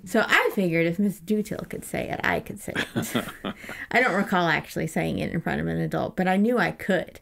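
A woman's voice: lowish voice, animated, brisk, vocal fry, fairly bright tone, slight background noise. Woman casually retelling a story, irreverent. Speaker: podcast co-host.

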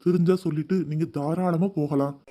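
A woman's voice: deep voice, steady tone, brisk, mellow, voice pushed down, lightly acted. Woman doing a preachy old guru. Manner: lecturing, sermon-like. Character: older male guru, preachy and persuasive.

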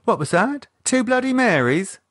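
A man's voice: medium pitch, big pitch swings, unhurried, slightly rough, dry recording, clean studio sound. A man voicing a nervous working-class woman who puts on a cheery front, in an audiobook. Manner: flustered, forced cheerfulness. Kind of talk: audiobook character voice.

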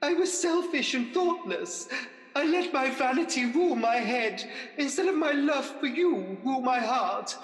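Woman as high, melodramatic pantomime dame. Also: lively intonation, unhurried. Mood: remorseful, pleading. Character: vain, melodramatic, repentant pantomime dame Mother Goose. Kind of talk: radio pantomime acting. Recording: echoey reverberant hall.

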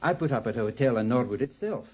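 Dull 1950s radio sound, anxious young man, English accent, pleading, halting, husky. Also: medium pitch, lively intonation. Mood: nervous, earnest. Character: anxious, earnest young man protesting his innocence. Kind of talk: 1950s radio drama acting. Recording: muffled 1950s radio drama recording.

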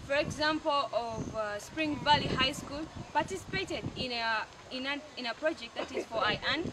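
A young woman student introducing video segments, speaking haltingly with restarts. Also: high-pitched. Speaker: student presenter. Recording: distant mic with loud background noise.

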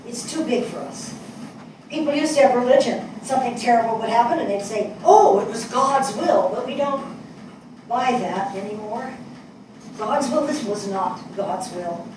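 An older woman playing a thoughtful, compassionate writer in a staged dramatic reading, measured and earnest. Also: on the high side, animated, distant mic, natural voice. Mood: sombre reflection. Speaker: actor in a staged play reading. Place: small indoor theatre stage before an audience. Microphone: distant camera mic. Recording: echoey stage picked up from afar, noisy.

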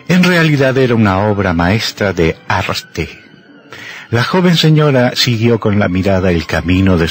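A man narrating an old radio horror drama in a theatrical, measured, husky storytelling voice. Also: deep voice.

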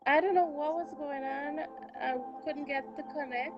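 A woman's voice: sits high, steady tone, normal speed, noisy background. A woman from Guyana, chatting casually as a guest caller in brief, hesitant answers. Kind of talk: casual chat in short answers. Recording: dull lo-fi call, music behind. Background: music.

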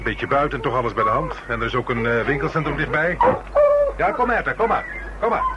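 Radio play casting: man as informal, homely policeman, very lively pitch, noisy recording. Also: fast talker, dull audio, natural voice. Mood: homely, cheerful. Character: brisk policeman and homely dog-loving bachelor. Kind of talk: radio drama acting.

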